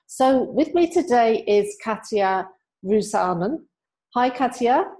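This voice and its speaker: A woman's voice: on the high side, animated, slow, warm tone. A woman hosting an interview, moving between a prepared introduction and conversational questions. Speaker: interview host.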